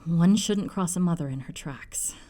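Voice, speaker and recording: A woman's voice: on the low side, normal speed, warm tone, close to an everyday voice. A woman playing a bubbly, devout middle-aged mother in an audio roleplay, gushing, playful and affectionate. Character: bubbly, devout, teasing mother, soon a grandmother.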